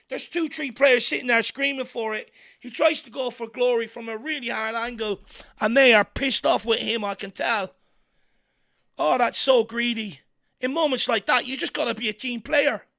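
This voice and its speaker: An Irish man hosting a fan watchalong, giving excitable partisan live commentary on a football match with a croaky, worn voice. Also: very high-pitched. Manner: excitable partisan, shouts encouragement at players. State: voice going croaky from hours of talking.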